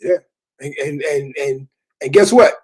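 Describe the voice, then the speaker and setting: A man's voice: on the high side, measured pace, slightly rough. A man in his thirties in casual chat about parenting, laid-back and repetitive, with many fillers.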